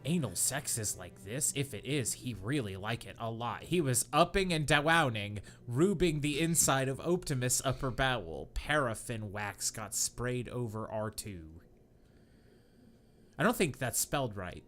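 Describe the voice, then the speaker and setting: A man's voice: mid-range voice, lively intonation, a little slow, a bit bright, slight background noise. A young man hosting a comedy podcast, reading a bad story aloud with mock earnestness and sarcastic asides. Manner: reads with mock earnestness, breaks into laughter.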